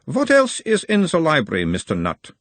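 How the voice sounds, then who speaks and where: A man's voice: fairly high pitch, wildly swooping pitch, fairly bright tone, no echo, pitched above the performer's own voice. Man doing a soothing foreign-accented hypnotist. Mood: coaxing. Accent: mild Uberwaldian. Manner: slow, soothing, insistent questioning. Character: calm male hypnotist questioner, foreign-accented.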